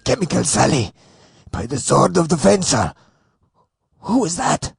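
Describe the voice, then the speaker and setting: A man's voice: fairly high pitch, very animated, unhurried, vocal fry, breathy edge, full character voice. A man playing a bombastic, insult-hurling Iraqi official in a radio farce, with an exaggerated mock Iraqi accent and florid, theatrical delivery. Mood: blustering. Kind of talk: satirical radio sketch acting.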